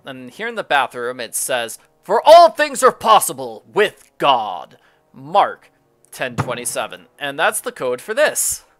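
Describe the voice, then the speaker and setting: A man hosts a game walkthrough in a casual way. His voice is animated and swings up and down in pitch. He muses aloud and keeps up a jokey running commentary. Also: unhurried.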